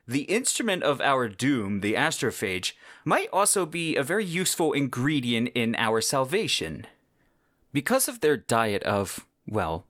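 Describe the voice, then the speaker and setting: A man's voice: mid-range voice, a bit bright, no echo. Enthusiastic man reviewing a book, dry mic. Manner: enthusiastic, wry asides. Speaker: podcast host reviewing a novel. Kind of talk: scripted book review monologue.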